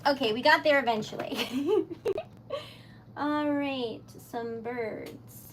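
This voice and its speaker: A woman's voice: high-pitched, slow pace, heavy background noise. A woman with a Rhode Island accent hosting a casual craft livestream, chatting to her viewers, laughing often and rambling as she goes. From New England. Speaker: livestream host. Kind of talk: casual chat while crafting.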